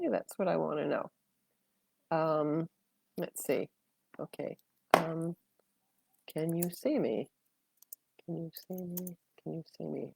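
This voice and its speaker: Low, gravelly woman with a head cold. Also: darkish tone. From Canada. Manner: chatty, rambling, many fillers. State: stuffed up from a cold. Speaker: astrologer and tarot reader hosting a live stream. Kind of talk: casual live-stream talk. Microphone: phone.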